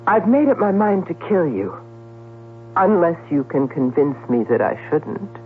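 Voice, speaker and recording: A woman's voice: lowish voice, big pitch swings, measured pace, a little hiss or hum. An actress playing a breezy, sardonic woman gossip columnist in a radio drama, with affected, arch flourishes. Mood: cold, menacing. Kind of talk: 1970s radio drama acting. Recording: tinny, humming 1970s radio recording.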